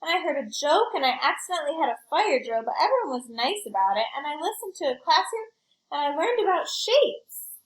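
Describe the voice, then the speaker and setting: Woman voicing a childlike, high-pitched, bashful storybook schoolhouse boy. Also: big pitch swings, brisk, low-quality recording. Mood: eager. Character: childlike male school building, shy, apologetic, eager. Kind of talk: children's storybook read-aloud.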